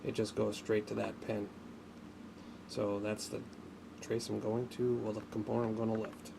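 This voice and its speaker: A man giving an unscripted hands-on tutorial, speaking haltingly as he thinks aloud while working, as a hobbyist would. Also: fairly low pitch, little pitch movement, gravelly. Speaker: hobbyist demonstrating an electronics repair. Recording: noticeable background noise.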